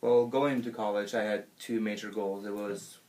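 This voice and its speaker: Young man, from Norway, low voice, flat intonation, modest, halting. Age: young adult. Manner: plain, modest, halting with short phrases. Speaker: college bowler. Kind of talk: casual interview answers.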